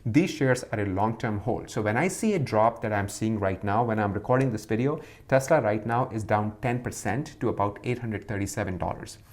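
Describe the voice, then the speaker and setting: A man's voice: lowish voice, fairly quick, few pauses. A man hosting a video, giving emphatic, scripted-sounding commentary on investing and stepping through it point by point. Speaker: stock commentary video host.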